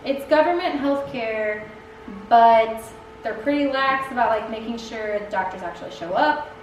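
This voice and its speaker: A young woman chatting casually in a Q&A, laughing often and using lots of fillers. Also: a little high. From United States. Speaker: Peace Corps volunteer answering questions. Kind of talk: casual Q&A chat. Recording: echoey room, distant mic, background noise.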